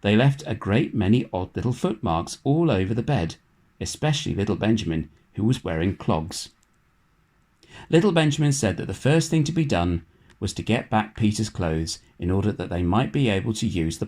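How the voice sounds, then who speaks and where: A man narrating a children's storybook in a measured, expressive read-aloud manner. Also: sits low. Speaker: storybook reader.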